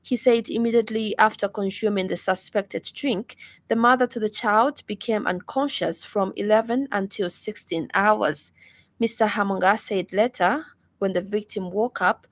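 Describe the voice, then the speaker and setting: A woman with a Zambian accent reads a scripted radio news bulletin in a formal, measured manner. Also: medium pitch. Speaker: news reader.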